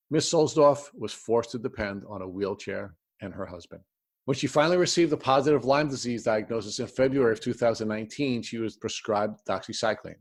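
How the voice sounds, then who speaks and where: A man hosting an interview podcast, reading prepared introductions and putting questions to a guest. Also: mid-range voice.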